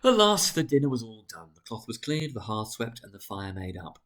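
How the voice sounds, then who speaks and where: A man narrating a story as a dramatic reading, theatrical and expressive. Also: mid-range voice, a little fast.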